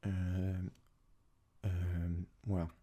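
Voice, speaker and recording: A young man from Sweden, a native Swedish speaker, talks casually in a soft-spoken, hesitant ASMR style as he answers questions. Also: deep voice, monotone, extremely slow. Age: young adult. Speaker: ASMR creator.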